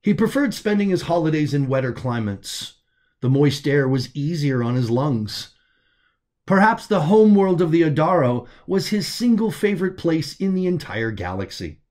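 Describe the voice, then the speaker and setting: A man's voice: a little high, very animated, moderate pace, a little mellow. A man reading science fiction as scripted audiobook narration, telling the story as its author.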